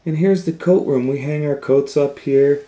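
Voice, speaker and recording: A man voicing a friendly woman schoolteacher from a storybook, welcoming and explanatory, in a raised pitch. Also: normal speed, mellow, lightly acted. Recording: roomy echo.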